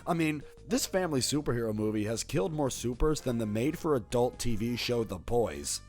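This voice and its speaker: A man's voice: mid-range voice, lively intonation, a little fast, darkish tone, soft background music. A man narrating a scripted video essay as a horror commentator, in a playful, wry, conversational way.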